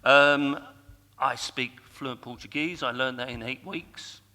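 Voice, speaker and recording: A man's voice: medium pitch, takes it slowly, pushed and bright. A man in his sixties giving a boastful hustings speech as an election candidate, listing his achievements. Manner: boastful, self-promoting. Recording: echoey hall with long reverb.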